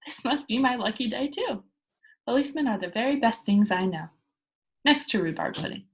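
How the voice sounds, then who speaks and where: A woman's voice: a little high, expressive, moderate pace, husky. A woman voicing a bold, cheeky nine-year-old girl in a children's storybook, boastful and carefree, making emphatic matter-of-fact declarations. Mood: gleeful. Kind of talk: children's storybook read-aloud.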